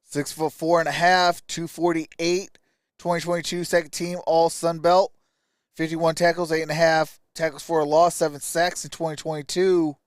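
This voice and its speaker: A man's voice: fairly high pitch, little pitch movement, moderate pace, no echo, studio quality. A man hosting a live stream, giving casual draft commentary and reading out stats in clipped phrases.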